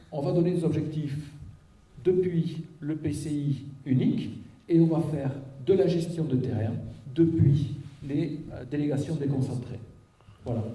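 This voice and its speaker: A man's voice: on the high side, mellow. Muffled-toned man presenting in an echoey auditorium. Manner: didactic, step-by-step, with check-in tags and rhetorical questions. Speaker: local elected official presenting a plan. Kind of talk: public presentation, explanatory talk. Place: auditorium, public meeting. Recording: echoey auditorium, picked up from a distance.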